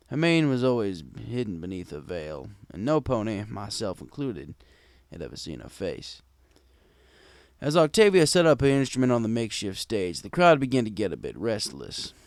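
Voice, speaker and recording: A man's voice: medium pitch, dry recording. A man reading a scripted first-person story as a wry, conversational bartender pony. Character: wry, gruff bartender pony telling his tale. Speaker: story narrator.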